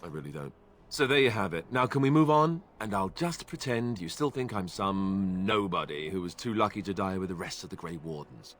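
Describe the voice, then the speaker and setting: An actor playing a sardonic, self-deprecating young warrior and reluctant prince in a video game. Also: male voice, deep voice, animated, fairly quick, a bit bright, a little hiss or hum. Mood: wry, uneasy.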